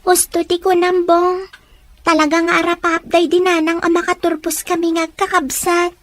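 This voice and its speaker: A woman's voice: extremely high pitch, level tone, moderate pace, fairly bright tone, no echo. A young woman voicing a stubborn student daughter in an audio drama, talking in an insistent, pleading way. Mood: earnest. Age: young adult. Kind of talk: Ilocano radio-style audio drama.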